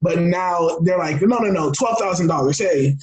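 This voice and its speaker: A young American man talking casually as an interview guest, excitable and rambling, often restarting his phrases. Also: high voice, moderate pace. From Florida, United States. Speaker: podcast guest.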